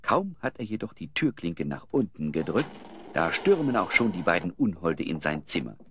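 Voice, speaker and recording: A man's voice: deep voice, big pitch swings, moderate pace, husky, dull audio, heavily acted. A man narrating a children's fantasy audio drama as a scripted storyteller. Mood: dramatic.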